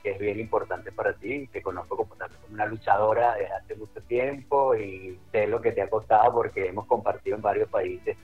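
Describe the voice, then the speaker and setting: A friendly, chatty man in his fifties with a Caracas Venezuelan accent reminisces as a radio guest, often restarting his sentences. His voice sits lowish and comes through dull and lo-fi. Faint music plays behind him. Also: fairly even intonation, a little fast, hardly any pauses, slightly rough. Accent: Caracas Venezuelan Spanish. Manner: friendly and chatty, with frequent restarts and light laughs. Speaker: running club director.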